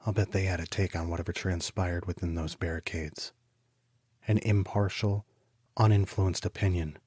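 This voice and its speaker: An actor playing a troubled homicide detective, narrating his case in a deliberate, brooding, literary style for an audio drama. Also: low-pitched, steady tone, a little mellow, breathy edge, dry recording, clean studio sound. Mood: bitter, weary. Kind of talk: scripted first-person noir audio drama narration.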